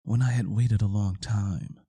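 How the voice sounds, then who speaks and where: A man giving a dramatic first-person narration of a horror story, theatrical and deliberate, with pauses for suspense and a husky voice. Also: on the low side, fairly even intonation, mellow.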